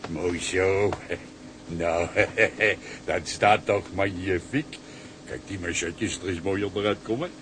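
An actor playing a cheery, coaxing ship's cook and fixer in a radio drama, talking colloquially. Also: male voice, low-pitched, takes it slowly, noisy background. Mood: coaxing, fussing.

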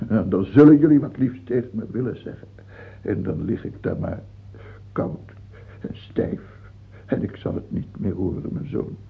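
An actor playing a sick, paranoid, self-pitying old king in a radio drama, with a husky, gravelly voice and a theatrical, halting delivery. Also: on the low side, animated, full character voice. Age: older. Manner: theatrical, halting, swinging between whining and outbursts. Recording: dull, muffled old radio recording.

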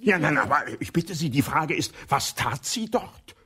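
An actor playing a bumbling, stammering police inspector in a radio drama who keeps berating himself. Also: male voice, fairly high pitch, animated, normal speed, pushed and bright, breathy edge, full character voice. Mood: puzzling. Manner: stammering, frantic self-reproach. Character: bumbling self-berating Scotland Yard inspector. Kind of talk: 1960s radio drama acting.

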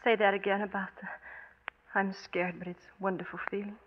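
A woman's voice: mid-range voice, level tone, fairly quick, lightly acted. An actress playing an anxious, devoted woman pleading with her detective in a 1940s radio melodrama. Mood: tender, scared. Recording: tinny 1940s radio broadcast recording.

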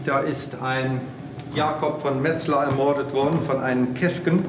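A man giving a rambling personal monologue, speaking haltingly, often repeating himself and circling back on his phrases. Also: medium pitch, steady tone, noisy background.

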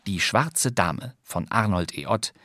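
Low-voiced male podcast host, dry studio sound. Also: lively intonation, takes it slowly, sharp tone, no echo. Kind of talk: scripted radio presentation of a classic crime play.

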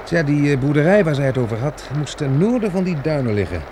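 Caring practical survivor in an old radio play, lively intonation, really noisy tape. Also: male voice, on the high side, fast talker. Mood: practical, hopeful. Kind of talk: Dutch radio play acting.

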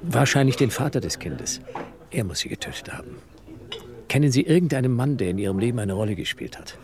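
An actor playing a troubled theatre director under suspicion in a German radio drama, earnest and probing. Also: male voice, mid-range voice, lively intonation, fairly quick, a little mellow, natural voice. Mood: urgent, probing.

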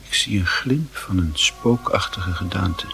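A man narrating a literary radio play in a grave, measured manner, with a very husky, rough voice. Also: low voice, expressive, a bit bright, slight background noise, low-quality recording.

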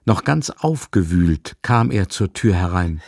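An actor as a composed storyteller, narrating a literary period drama in a measured manner. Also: male voice, deep voice, animated, creaky, a little mellow, dry recording, heavily acted.

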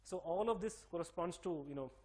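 Fast-talking man with a Delhi accent. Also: high-pitched, a little mellow. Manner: rapid, emphatic, many fillers and restarts. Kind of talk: interview-style explanation.

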